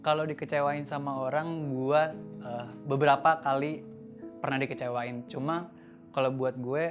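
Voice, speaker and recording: A man in his mid twenties answers informally, pausing as he goes. His voice is mid-pitched, with faint plucked guitar music underneath. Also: fairly even intonation. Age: 20s. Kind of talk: casual interview answers. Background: soft guitar music.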